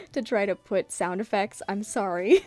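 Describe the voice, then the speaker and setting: A woman's voice: mid-range voice, a little mellow, dry recording. A woman giving a casual, self-mocking dramatic reading of her comic, with giggly running commentary. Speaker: webcomic creator reading her own comic.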